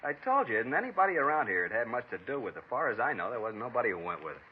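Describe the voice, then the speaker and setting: A man's voice: mid-range voice, big pitch swings, rapid-fire, a little hiss or hum, natural voice. An actor playing a defensive, self-pitying ex-con man being questioned, in a 1950s radio drama. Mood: pleading. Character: defensive ex-con man, middle-aged, sullen and evasive. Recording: dull old radio broadcast.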